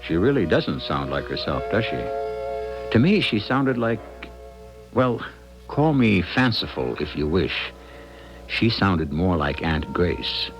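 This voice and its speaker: A man hosting a radio mystery drama, musing on the macabre in a deliberate, teasing, theatrical way. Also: low voice, heavily acted. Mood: wry, ominous. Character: wry, macabre male mystery host musing on death. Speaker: host and narrator of a radio mystery series. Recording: dull 1970s radio broadcast, music behind.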